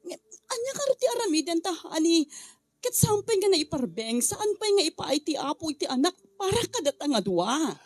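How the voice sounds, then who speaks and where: An Ilocano radio drama wife, acted by a woman, pleading and emotional. Her voice sits high and moves up and down a lot, heard close and dry. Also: very animated, moderate pace, no room sound. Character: pleading, aggrieved young wife in a domestic drama.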